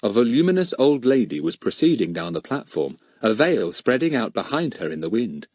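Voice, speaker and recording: A man narrating a children's story from a script in an expressive, animated way. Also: medium pitch, brisk, no room sound. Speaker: audiobook narrator.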